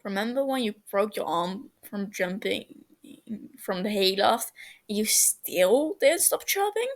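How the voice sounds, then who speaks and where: A woman's voice: mid-range voice, expressive, a little slow. Woman voicing a cheeky, blunt teenage boy. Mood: teasing. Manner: teasing, blunt.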